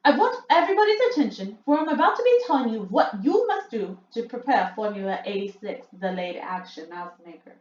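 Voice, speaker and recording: A woman's voice: a little high, sing-song, brisk, hardly any pauses, darkish tone, echoey room, dull audio. A woman reading a storybook aloud and voicing the harsh female leader of the witches, who snaps out commands. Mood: commanding. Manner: snapping, bossy commands.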